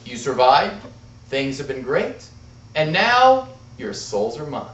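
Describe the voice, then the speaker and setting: A man's voice: mid-range voice, very animated, slow pace, fairly bright tone. A man voicing a smug, bargaining devil in a live tall tale, grand and taunting. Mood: gloating. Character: smug, bargaining devil, male lord of evil. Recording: roomy, distant, muffled club-room recording.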